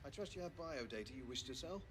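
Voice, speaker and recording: An actor playing a courteous, formal scientific trader in a space video game, speaking scripted lines politely. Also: male voice, mid-range voice, lively intonation, fast, fairly bright tone, a little hiss or hum, lightly acted.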